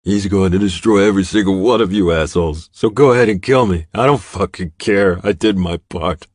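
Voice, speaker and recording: A man's voice: sits low, a little fast, no echo, studio quality, pitched above the performer's own voice. A man acting a sneering, defiant captive villain. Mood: taunting, defiant. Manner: sneering, goading.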